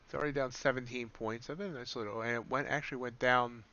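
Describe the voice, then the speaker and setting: Man, bright forceful voice, slightly raspy, live trading commentary, dull lo-fi sound. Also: mid-range voice, steady tone, quick pace. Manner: thinking aloud, correcting himself mid-sentence. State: slightly raspy from streaming for hours. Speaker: streamer, day trader.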